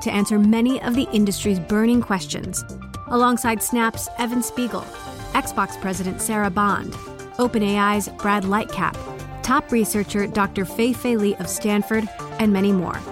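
A woman's voice: medium pitch, steady tone, moderate pace. A woman reads a scripted event promotion in a polished, announcer-like delivery. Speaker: event ad presenter. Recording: music bed under voice.

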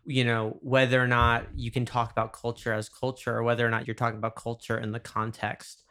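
Male, average pitch, casual and wry conversational delivery. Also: completely flat delivery, a little fast, bright, forceful tone, smooth.

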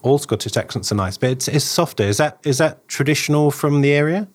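A man hosting a podcast, chatting casually with upbeat, quick banter. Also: fairly high pitch. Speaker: podcast host.

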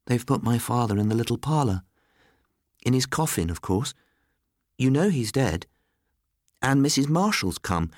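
A man's voice: medium pitch, big pitch swings, moderate pace, pauses often, darkish tone, no echo, studio quality, voice pushed up. A man voicing an earnest, naive little boy who speaks with innocent plainness, in a storybook reading. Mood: innocently matter-of-fact. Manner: matter-of-fact, innocent.

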